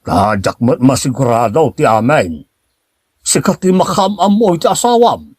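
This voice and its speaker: A husky older Filipino man with a very lively pitch, played by a male actor. He is a sly secret lover in an audio drama, hesitant and evasive, tripping over his words. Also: a little slow, no echo, studio quality. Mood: nervous, evasive.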